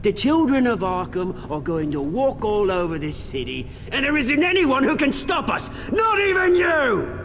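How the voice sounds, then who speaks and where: British crime boss game villain, cocky sneering sweary, highish voice all over the place. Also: male voice, sing-song, moderate pace, a bit bright, a little hiss or hum, heavily acted. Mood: menacing, boastful. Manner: taunting, theatrical, sneering. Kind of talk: video game voice acting.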